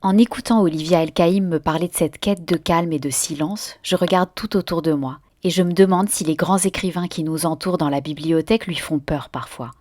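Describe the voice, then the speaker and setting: Low-voiced woman narrating, dry sound. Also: fairly quick, few pauses, darkish tone, no echo. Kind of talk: voice-over narration.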